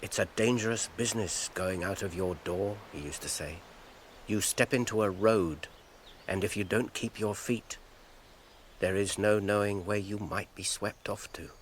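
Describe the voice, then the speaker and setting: An actor with an English accent playing a thoughtful, wistful hobbit in a radio drama, reflective and measured. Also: male voice, lowish voice, slight background noise, close to an everyday voice. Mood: musing.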